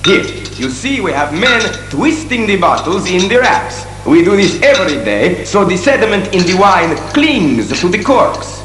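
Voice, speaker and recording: A man's voice: high-pitched, sing-song, unhurried, few pauses, roomy sound, big-room sound, music in the background. Lilting genial winemaker, played by a man. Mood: proud, instructive. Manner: emphatic, hesitant asides, tag questions. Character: genial Italian male winemaker, eager to explain. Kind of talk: audio drama acting.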